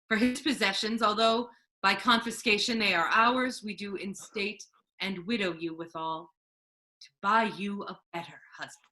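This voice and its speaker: A woman playing a stern, authoritative male duke in a measured, formal Shakespearean stage reading. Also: mid-range voice, fairly even intonation, bright, forceful tone, natural voice, rough recording. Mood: cool, authoritative.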